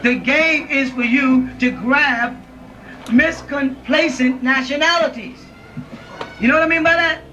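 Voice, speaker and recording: High, bright-voiced man lecturing from afar. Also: unhurried, slight background noise. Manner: emphatic, keeps checking in with listeners. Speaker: lecturer addressing an audience. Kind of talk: informal lecture. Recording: dull lo-fi audio from a distant mic.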